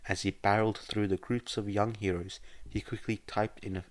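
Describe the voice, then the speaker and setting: A man's voice: fairly low pitch, flat delivery, normal speed. A man reading scripted fan fiction as an audiobook-style narrator. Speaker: podfic narrator.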